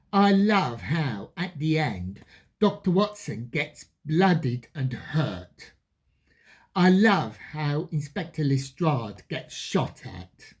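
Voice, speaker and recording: Husky, slow-paced reviewer with swooping emphasis. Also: medium pitch, darkish tone. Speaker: film review presenter. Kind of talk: spoken film review to viewers.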